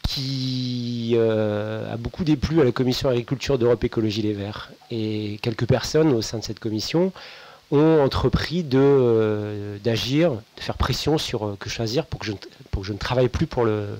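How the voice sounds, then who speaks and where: A man's voice: medium pitch, normal speed, a little mellow. A man from Brittany, a journalist on a panel, speaking in long articulate sentences with dry humor. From Brittany, France.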